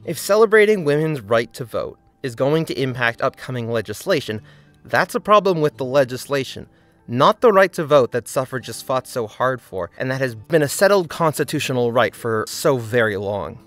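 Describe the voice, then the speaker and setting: A man reads a scripted video essay in an earnest, critical way. His voice sits at an ordinary middle pitch, and soft music plays faintly behind him. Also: animated, moderate pace, no room sound.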